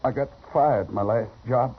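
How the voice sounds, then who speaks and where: An actor playing a poor, meek, badly hurt cowboy in a 1950s radio western, speaking in halting, plain words. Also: male voice, medium pitch, breathy edge. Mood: humble, weary. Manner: halting, plain-spoken, humble. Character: poor, meek, dying cowboy longing for respect. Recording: noisy, muffled old radio recording.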